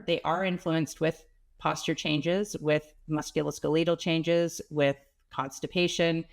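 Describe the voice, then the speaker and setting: A woman with a stuffy nose from a cold, a pelvic health expert speaking as an interview guest. She explains in a practical, plain-spoken way. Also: sits low.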